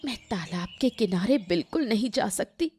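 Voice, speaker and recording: A woman's voice: medium pitch, lively intonation, normal speed, darkish tone, slight background noise, close to an everyday voice. A woman playing a grieving, guilt-ridden village mother in a Hindi cartoon story, in a sorrowful, plaintive way. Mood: grieving, guilty. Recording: music playing behind the voice.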